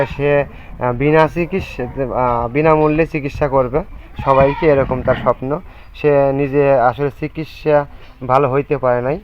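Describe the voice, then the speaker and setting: A Bangladeshi man presenting an informal charity appeal and interview on location, speaking in a halting, repetitive way. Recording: muffled low-fi audio, background noise, bleating animals.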